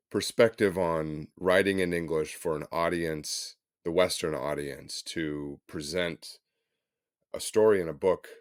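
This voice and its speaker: A man's voice: a bass voice, no echo, studio quality. A man interviewing an author, attentive and affirming, asking long, considered questions. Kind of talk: conversational interview.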